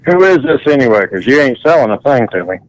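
A man's voice: medium pitch, big pitch swings, fast, rough recording. A man taking a prank call over the phone, easygoing, laughing along and jabbing back. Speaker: flooring installer taking a call. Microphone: phone line.

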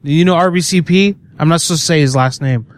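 A man's voice: on the high side, quick pace, a little hiss or hum. A man making a prank call, talking in a crude, mocking fake-salesman patter. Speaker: prank caller.

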